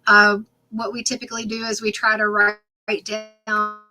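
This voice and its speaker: A woman's voice: mid-range voice, monotone, normal speed, bright, forceful tone, rough recording. A woman co-hosting a casual paranormal podcast, speaking earnestly and giving advice, with frequent ums and restarts, her voice a little worn. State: losing her voice, clearing throat. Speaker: co-host.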